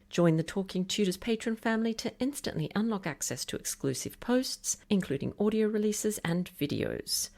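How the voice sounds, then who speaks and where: Low-voiced podcast host woman. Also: creaky, a little mellow. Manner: polished, enthusiastic read of announcements. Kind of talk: scripted podcast intro and interview.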